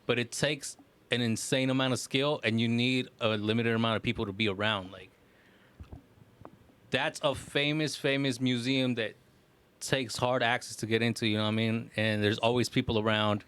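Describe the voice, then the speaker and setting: A man with a mid-pitched voice talks as a podcast co-host. His delivery is casual and excitable, like someone telling friends a story, with plenty of slang and swearing. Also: moderate pace, clean tone. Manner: excitable storytelling, slangy, profane, frequent "bro" and "like".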